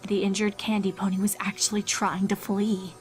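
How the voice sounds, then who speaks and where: A woman's voice: mid-range voice, flat delivery, normal speed. A woman giving a dramatic scripted narration of a horror fan-fiction story, with music behind her.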